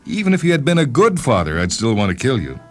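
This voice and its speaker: A man's voice: mid-range voice, huge pitch swings, quick pace, pitched above the performer's own voice. A man voicing an exasperated, self-justifying man in his thirties with a grievance against his father, in a dramatized story reading. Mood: agitated, aggrieved. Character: aggrieved, unhappy 32-year-old man confronting his father. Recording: music bed behind the voice.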